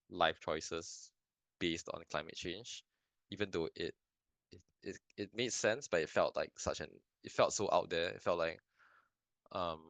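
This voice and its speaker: A young man from Singapore talks through his answers with a deep, low voice. He hesitates, restarts sentences and fills gaps with "um". The sound is dry and close. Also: fairly bright tone, no echo. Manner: hesitant, many restarts and fillers, thoughtful. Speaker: filmmaker guest. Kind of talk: casual interview answers. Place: lives in Canada.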